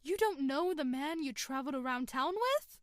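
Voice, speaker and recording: A woman's voice: high-pitched, expressive, normal speed, dry recording, studio quality. A woman playing a dreamy young friend who prods and gossips in an audio drama. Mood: teasing.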